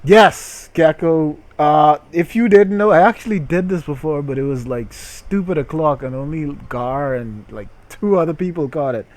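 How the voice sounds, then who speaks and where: A man's voice: fairly high pitch, moderate pace, hardly any pauses, darkish tone, dry recording. A man hosting a game livestream in a theatrical, mock-grandiose way, with muttered, sweary asides. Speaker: livestream host. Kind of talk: theatrical game-stream commentary.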